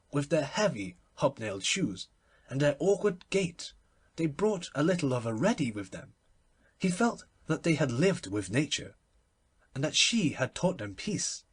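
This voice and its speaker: A man reading a literary story aloud as an audiobook narrator, in an expressive and measured storytelling manner. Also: on the high side, breathy edge.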